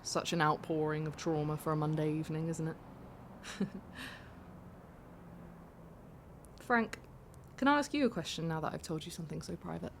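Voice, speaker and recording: An actress playing a candid, vulnerable young woman taxi passenger in an audio drama, confiding in a halting, self-deprecating way. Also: deep voice, vocal fry, a little hiss or hum. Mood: relieved, self-conscious.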